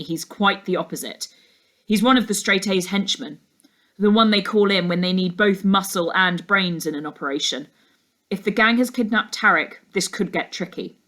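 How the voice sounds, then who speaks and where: A woman voicing a serious ex-detective uncle in a storybook, speaking in a measured, authoritative way. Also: mid-range voice, a bit bright, lightly acted. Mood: calm, firm. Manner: measured, commanding, matter-of-fact.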